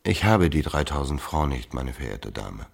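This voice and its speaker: An actor playing a suave, evasive gentleman lover in a radio drama, with a very deep, gravelly voice. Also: sing-song, normal speed, exaggerated voice. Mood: cool, guarded.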